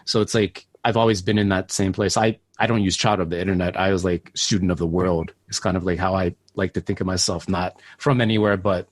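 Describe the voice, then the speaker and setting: Low voiced podcast guy, rambly, says like a lot, dry mic sound. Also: steady tone, brisk, few pauses, no room sound. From United States. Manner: loose, rambling, lots of "like" fillers. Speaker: podcast co-host. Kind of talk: casual interview chat.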